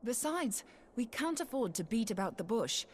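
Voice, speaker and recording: A cheeky, practical inventor in a fantasy video game. She speaks with breezy confidence and a very lively, swinging melody. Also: a little high, moderate pace, slight background noise. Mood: breezy, wry. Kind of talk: scripted fantasy game dialogue.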